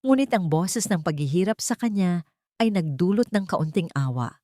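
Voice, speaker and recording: Low-pitched Filipino woman narrating, very dry sound. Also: lively intonation, moderate pace, warm tone, no room sound. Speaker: audio story narrator. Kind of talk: scripted dramatic first-person story narration.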